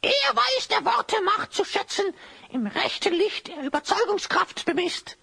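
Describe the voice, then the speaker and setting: Shrill, creaky, cackling hyena spy. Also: big pitch swings, measured pace, bright, forceful tone, exaggerated voice. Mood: sly, boastful. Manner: cackling, speaking in theatrical rhyme. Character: sly rhyming hyena spy, cackling small-town rabble-rouser. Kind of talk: German children's audio drama acting.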